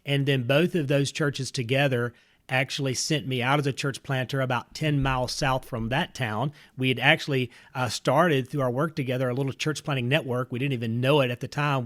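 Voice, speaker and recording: A middle-aged man from North Mississippi, an earnest missionary recounting his path in a relaxed interview, with fillers and restarts. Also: mid-range voice, moderate pace. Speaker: missionary and church planter. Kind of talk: casual interview storytelling.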